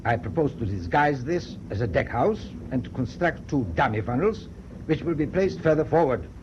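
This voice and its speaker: An actor playing a stern, proud German naval captain in a radio drama, speaking in a clipped, formal, authoritative way. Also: male voice, mid-range voice, lively intonation, moderate pace, natural voice. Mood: grave, resolute. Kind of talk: BBC radio drama acting. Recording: dull, noisy old radio broadcast tape.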